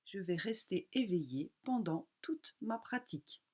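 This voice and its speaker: Calm lady doing guided yoga nidra, medium pitch, short unhurried phrases w pauses. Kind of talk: guided relaxation meditation.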